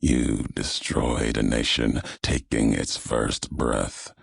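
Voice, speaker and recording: Super gravelly brooding wasteland courier for a game, slow grim talker, vengeful and solemn. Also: male voice, level tone, no echo, exaggerated voice. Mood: accusing, menacing. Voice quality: gravelly, raspy bass. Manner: slow, solemn, ominous, terse weighty phrasing. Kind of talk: video game character dialogue.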